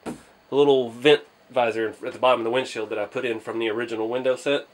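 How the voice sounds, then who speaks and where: A man gives an informal, hands-on commentary in the manner of a hobby video host. His pitch sits in an ordinary middle range for a man. He thinks aloud as he works, explaining each step in plain, casual words. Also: steady tone, fast, slight background noise.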